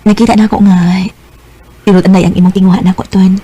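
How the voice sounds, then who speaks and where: A woman playing a gentle, devoted young Cebuano sweetheart in a Filipino radio drama. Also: medium pitch, level tone, fast talker, husky, lightly acted. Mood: tender. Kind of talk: Bisaya radio drama acting.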